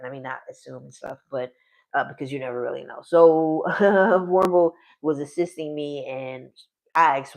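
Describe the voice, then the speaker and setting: A man's voice: medium pitch, rough recording. A man in his forties casually narrating his solo tabletop game on a live stream, rambling, trailing off mid-sentence and laughing often. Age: 40s.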